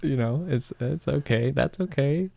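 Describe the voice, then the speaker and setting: A man's voice: medium pitch, measured pace, creaky, dark tone, no echo. A man in casual, easygoing conversation, often chiming in with brief reactions. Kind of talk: casual chat.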